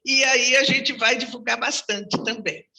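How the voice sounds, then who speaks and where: A woman interviewing guests in a lively way, with laughs between her lines. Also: a little high, normal speed, vocal fry. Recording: distant mic.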